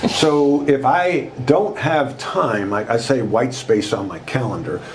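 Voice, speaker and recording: Man in his sixties, podcast guest, loud, emphatic storytelling, medium-pitched voice. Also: takes it slowly, a little hiss or hum. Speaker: podcast guest, leadership author and former basketball coach. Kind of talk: conversational storytelling interview.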